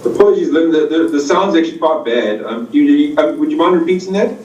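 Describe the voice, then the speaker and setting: A man, an auditor on a remote call into a council meeting, hesitant and halting. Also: fairly high pitch, animated, darkish tone, roomy sound, far from the mic, dull audio, slight background noise. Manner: hesitant, many fillers and restarts. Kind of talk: meeting discussion over a call.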